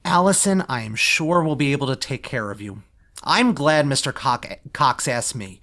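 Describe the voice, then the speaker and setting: A man's voice: medium pitch, animated, normal speed, bright, forceful tone. A man playing a courteous, reassuring professional in an online table read of a stage musical, over a video call.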